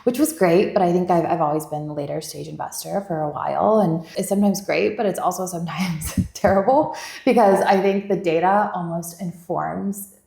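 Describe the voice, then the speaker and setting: A Bulgarian-born woman in her thirties talks as a podcast guest, chatty and rambling. Her voice sits fairly low, with a gravelly edge, and it rings around an echoey room. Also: moderate pace, warm tone. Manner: chatty, rambling, many "like" fillers. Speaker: venture investor guest. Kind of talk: casual interview chat.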